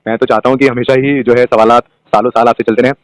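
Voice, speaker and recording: A man's voice: mid-range voice, flat delivery, quick pace, dry recording, rough recording. A man interviewing a guest, asking probing questions with hesitant fillers and pressing for answers.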